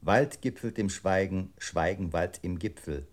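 A man's voice: sits low, unhurried. A man playing a computer processor in a radio play, reciting in a methodical, staccato, impersonal way. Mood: methodical, detached. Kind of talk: 1960s German experimental radio play.

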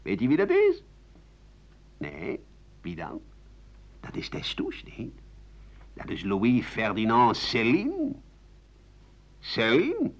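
A man acts out scornful townsfolk trading malicious gossip in a radio drama. The delivery is accusing and lively in pitch. The recording is muffled, like an old broadcast. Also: slow, pauses often, full character voice. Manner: spiteful, accusing. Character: sneering gossiping townsfolk, mocking the old doctor. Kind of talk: 1980 Dutch radio play.

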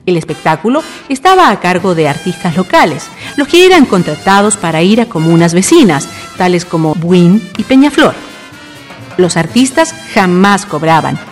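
A woman with a Chilean accent reading scripted narration for a radio drama. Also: fairly low pitch. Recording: long reverb, faint music behind.

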